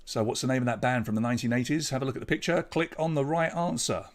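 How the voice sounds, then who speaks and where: A man's voice: medium pitch, fast. A man with an English accent hosting a live online pub quiz, reading out questions and scores and bantering with the players. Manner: chatty, jokey banter with players between questions. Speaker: quiz host.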